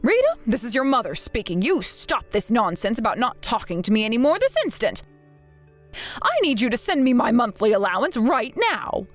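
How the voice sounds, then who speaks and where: A woman voicing a manipulative, whining mother who pleads and wheedles in a high voice for money in a dramatized story. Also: sing-song, moderate pace, faint music behind.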